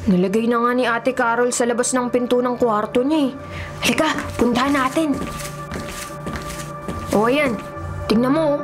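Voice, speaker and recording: High voice, young boy played by a woman, earnest, childlike, pleading, radio horror drama, light background music. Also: moderate pace, long pauses, close to an everyday voice. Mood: matter-of-fact. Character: frightened, curious young boy who loves sweets.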